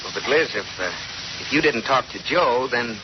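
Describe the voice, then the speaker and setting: A man's voice: mid-range voice, lively intonation, normal speed, natural voice. A man playing a flustered, exasperated husband in an old radio comedy, stammering and blustering. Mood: nervous, coaxing. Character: flustered, exasperated husband whose prank backfired. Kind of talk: 1940s radio comedy acting. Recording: dull, noisy old radio recording.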